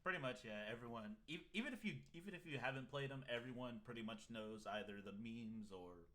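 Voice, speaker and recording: Man, co-host, laid-back, teasing, lively swinging pitch, casual chat. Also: a little fast. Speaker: co-host running the stream.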